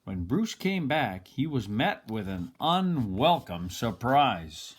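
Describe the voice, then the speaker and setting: An older man reading a children's picture book aloud expressively, like a grandfather, with dramatic pauses. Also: medium pitch, very animated, slightly rough.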